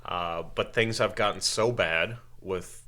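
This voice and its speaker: A man with a very deep voice speaks as a podcast guest from Ukraine, with Russian and Ukrainian as his first languages. He explains his views slowly and carefully, pausing on fillers and restarting his sentences. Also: pushed and bright. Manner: measured, hesitant, many uh fillers and restarts. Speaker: interview guest. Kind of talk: casual podcast interview.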